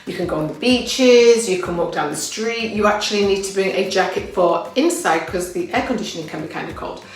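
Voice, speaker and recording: A woman's voice: mid-range voice, expressive, brisk, very echoey, distant mic, poor audio. A woman with an English accent, talking casually and upbeat about local living, with fillers and restarts. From England. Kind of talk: casual talk to camera.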